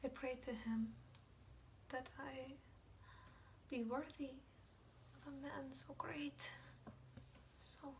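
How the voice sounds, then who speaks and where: A woman acting a guilt-ridden, fragile Polish woman in a dramatic monologue, with a Polish accent and halting, stammering speech that often drops to whispers. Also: high voice, fairly even intonation, gravelly, far from the mic, slight background noise, heavily acted, poor audio. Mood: anguished, reverent. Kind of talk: dramatic film monologue, amateur.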